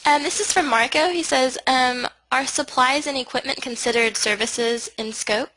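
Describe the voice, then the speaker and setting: A woman moderating a webinar, reading out audience questions in a brisk, matter-of-fact way. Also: high voice, pushed and bright, a little hiss or hum, rough recording.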